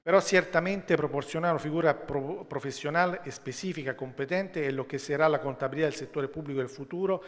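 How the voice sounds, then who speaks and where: A man's voice: a little high, fairly quick, few pauses. A man whose first language is Italian gives a careful statement to a conference panel. He sometimes stumbles over words and restarts them. His voice echoes with a long reverb in a large hall. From Italy.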